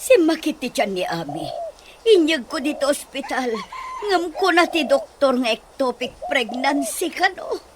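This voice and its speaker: A woman's voice: sits high, lively intonation, a little slow, heavy background noise. A woman with a Filipino accent acting as a caring, fretful middle-aged mother in a radio drama. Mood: worried.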